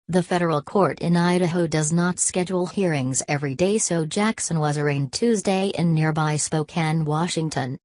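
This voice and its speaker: A woman's voice: low-pitched, darkish tone, no echo. A woman narrating a scripted news report, reading stiffly word for word.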